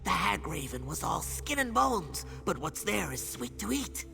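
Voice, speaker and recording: An actress playing a gleeful, ravenous crow creature in a video game, theatrical and savoring each word. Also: female voice, fairly low pitch, sing-song, unhurried, creaky, music in the background, full character voice. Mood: gloating gratitude. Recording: long hall-like reverb.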